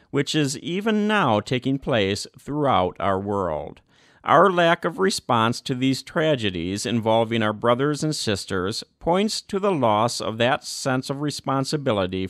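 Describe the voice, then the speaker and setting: A man reading a formal document aloud as scripted narration, in a measured, clearly phrased manner. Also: medium pitch, fairly bright tone, no echo, clean studio sound. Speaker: reader.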